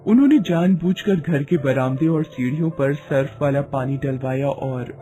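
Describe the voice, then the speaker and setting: A man's voice: mid-range voice, normal speed, mellow, faint music behind. A man narrating a scripted moral story in a dramatic storytelling manner.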